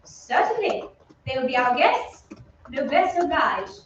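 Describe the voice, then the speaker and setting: A woman's voice: high-pitched, big pitch swings, slow pace, full character voice. A woman playing a genteel, reassuring English aunt and lady of the house in old-time radio acting. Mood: brisk, gracious. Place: theatre stage. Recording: echoey stage, distant mic, dull, faint noise.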